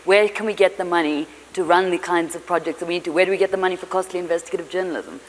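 Brisk woman moderator in a hall. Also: fairly low pitch, slight background noise. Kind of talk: panel moderation. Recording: long hall reverb.

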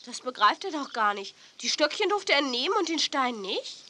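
An actress playing an eager, impulsive young girl in a children's audio drama, excitable and a little pouty. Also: high voice, normal speed, heavily acted. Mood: pouting. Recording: noisy 1980s children's cassette play.

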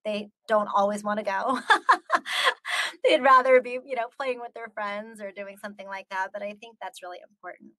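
Measured, reflective American woman on a call. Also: mid-range voice, steady tone, a bit bright. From United States. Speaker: guest speaker. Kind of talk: earnest talk answering audience questions. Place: indoors on a video call. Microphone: video call.

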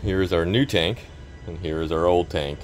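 A man in his thirties working on a boat, explaining each repair step in a relaxed, casual way. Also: low-pitched, normal speed, faint music behind. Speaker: boat mechanic. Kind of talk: casual how-to narration while working. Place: inside a boat cabin.

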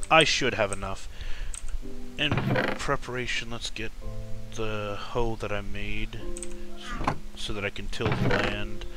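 A man with a deep voice giving casual, rambling commentary while playing a game, speaking softly and correcting himself as he goes. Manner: soft-spoken, rambling, self-correcting. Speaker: let's-play gamer.

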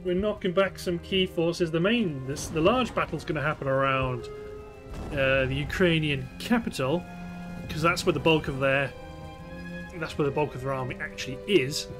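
A man's voice: fairly high pitch, moderate pace, over music. A British man giving casual let's play commentary on a strategy game, thinking aloud in short remarks. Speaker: gameplay host.

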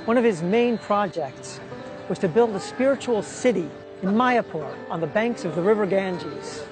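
A man presenting and narrating a documentary in a steady, explanatory way, partly from an outdoor street festival. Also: high-pitched, normal speed, over music.

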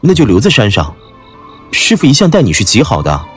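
A man's voice: mid-range voice, huge pitch swings, fairly quick, fairly bright tone, breathy edge, soft background music, full character voice. A man playing an earnest, devoted young martial disciple in an audiobook drama, speaking tenderly.

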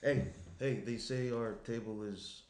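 A man's voice: medium pitch, steady tone, a little slow, a little mellow, close to an everyday voice. A young man acting an attentive, slightly anxious boyfriend in a conversational, hesitant script reading. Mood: concerned. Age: young adult. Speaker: actor in a screenplay table read. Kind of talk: naturalistic dramatic script reading. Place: small indoor room around a table. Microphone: shared tabletop microphone. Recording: roomy echo, noticeable room noise.

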